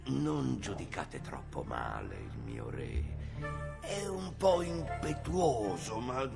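An actor playing a meek, weary, loyal courtier in a radio drama, speaking in a deferential, hesitant way. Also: male voice, fairly low pitch, huge pitch swings, takes it slowly, vocal fry, over music, heavily acted. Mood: meek, apologetic.

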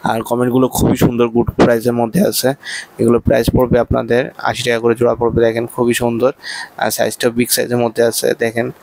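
Fast, flat-toned Bangladeshi man selling. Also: mid-range voice, gravelly, poor audio. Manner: repetitive sales patter. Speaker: presenter showing fish for sale. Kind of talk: promotional shop-tour commentary.

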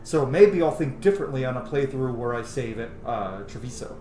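A man's voice: medium pitch, lively intonation, brisk, background noise. A man, around his thirties, giving casual, enthusiastic let's-play commentary in chatty asides. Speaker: game streamer and video host. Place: small room indoors at home. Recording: roomy sound, game music behind. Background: video game music and dialogue.

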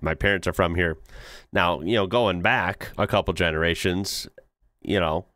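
A man's voice: deep voice, fairly bright tone, no echo. An American man in his thirties hosting a casual reaction video from a small home studio, talking in loose, easygoing asides into a close mic with a headset on. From United States. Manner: easygoing, chuckling, talks in loose run-on asides. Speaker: reaction channel host. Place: small home studio room with decorated walls.

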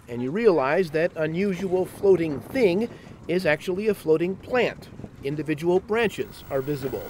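A man's voice: high-pitched, darkish tone, slight background noise, rough recording. Man narrating a science segment. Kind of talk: scripted narration.

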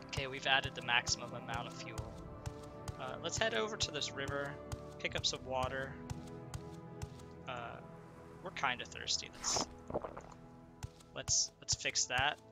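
A man's voice: medium pitch, a little slow, creaky, long pauses. Congested man chatting over game music. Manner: chatty, thinks aloud, asks himself questions. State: stuffed up, congested. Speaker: let's play gamer. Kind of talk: casual gameplay commentary.

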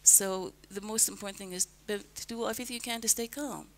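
An older woman from the United States answering questions in a calm, measured, earnest way on a radio interview, speaking into a headset mic in a broadcast booth. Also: medium pitch, slight background noise, rough recording. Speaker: guest, resident.

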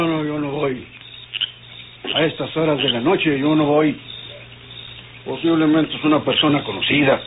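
An actor playing a proud, indifferent, ageing rich doctor in an old radio drama, speaking formally and indignantly. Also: male voice, fairly high pitch, lightly acted. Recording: tinny narrow-band old radio drama, faint noise.

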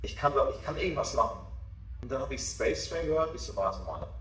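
A man's voice: mid-range voice, big pitch swings, brisk, a bit bright, far from the mic, slight background noise. Self-assured young man in an echoey room. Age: 20s. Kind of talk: casual interview. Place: small backstage room with sofa, indoors.

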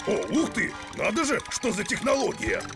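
An actor playing a bumbling middle-aged police officer in a dubbed cartoon, with a slow, swooping delivery. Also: male voice, sits high, expressive, gravelly, music in the background. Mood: flustered.

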